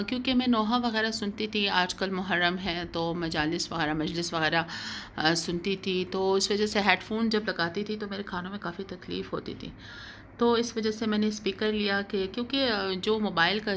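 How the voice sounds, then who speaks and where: A woman's voice: mid-range voice, fairly bright tone. A middle-aged woman narrating a casual home vlog in a hesitant way, often repeating her phrases. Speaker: vlogger. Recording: clear background noise, home ambience.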